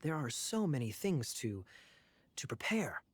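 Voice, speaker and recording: An actor playing a suave, sly young knight captain in an anime-style video game, smooth-talking and formally courteous. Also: male voice, mid-range voice, big pitch swings, a little slow. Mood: suave, evasive. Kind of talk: English anime game voice acting.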